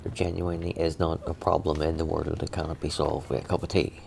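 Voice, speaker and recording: A man's voice: a bass voice, flat delivery, fast, creaky, darkish tone, slight background noise. A middle-aged man giving casual, grumbling, sweary commentary while playing a video game. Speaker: gaming streamer.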